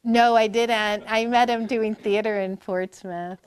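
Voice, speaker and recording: A woman's voice: fairly high pitch, takes it slowly, clean tone. A woman in her fifties from Maine, telling family stories in a chatty, rambling way with many fillers and restarts during an interview at a library talk. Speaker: performer and guest. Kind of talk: conversational interview storytelling.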